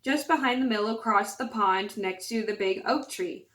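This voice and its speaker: A woman's voice: medium pitch, moderate pace, a bit bright, lightly acted. A woman with a scratchy voice voicing a sweet, trusting little girl in a storybook reading. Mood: innocent.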